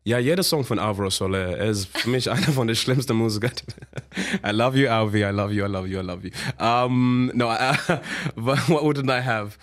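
A man from Zimbabwe with an English accent, a musician guest speaking halting German mixed with English, animated and laughing often. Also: mid-range voice. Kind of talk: casual radio interview.

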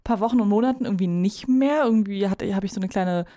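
A woman in her thirties co-hosting a podcast in casual chat. She laughs often and fills pauses with "ähm". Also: medium pitch, warm tone. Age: 30s. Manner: chatty, laughs often, trails into fillers. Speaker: podcast co-host.

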